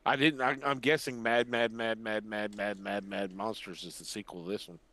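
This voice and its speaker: An older man hosting a podcast in casual chat, rambling and pausing as he recalls things. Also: lowish voice, steady tone, bright, forceful tone. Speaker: podcast host.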